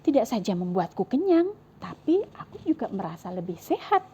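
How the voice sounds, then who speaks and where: A woman voicing a calm, upbeat forest animal villager in a children's story, speaking in an eager, persuasive way. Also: medium pitch, normal speed, dull audio, slight background noise, close to an everyday voice. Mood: earnest.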